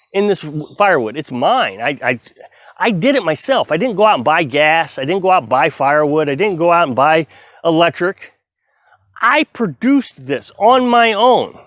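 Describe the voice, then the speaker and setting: A man's voice: high-pitched, a bit bright, no room sound. A middle-aged man vlogging outdoors on his homestead, talking casually and earnestly while he splits firewood. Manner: rambling, earnest, self-reflective.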